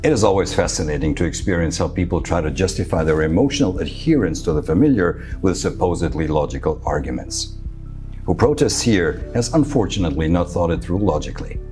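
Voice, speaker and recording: Male presenter, native Serbo-Croatian speaker, very low voice, measured scripted delivery, faint music under it. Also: animated, dark tone. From Serbia. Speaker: futurist presenter. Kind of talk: scripted talk to camera.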